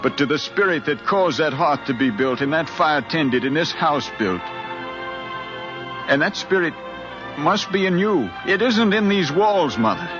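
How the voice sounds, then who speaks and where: Earnest pleading grown son, american, impassioned 1950s radio drama delivery, muffled old recording. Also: male voice, on the high side, expressive, over music. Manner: impassioned, deliberate, speechlike with repeated phrases. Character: earnest, insistent grown son pleading with his aging mother.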